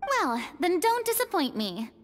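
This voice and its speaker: A woman's voice: extremely high pitch, big pitch swings, measured pace, a little hiss or hum, heavily acted. A woman playing a proud, flamboyant young stage star in an anime game, declaiming in a grandiose way. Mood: pleased, haughty. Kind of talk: anime video game voice acting. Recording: background music under the voice.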